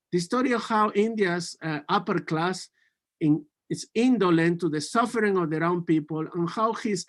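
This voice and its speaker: A man in his forties from Peru, a native Spanish speaker with a Peruvian accent, giving a prepared conference talk in an earnest way, often restarting and correcting his words. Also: high voice. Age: 40s.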